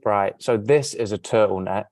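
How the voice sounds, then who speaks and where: A man's voice: lowish voice, normal speed, darkish tone, no echo, studio quality. A man with an English accent, a model chatting bluntly and with slang as an interview guest. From England. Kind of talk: casual interview chat.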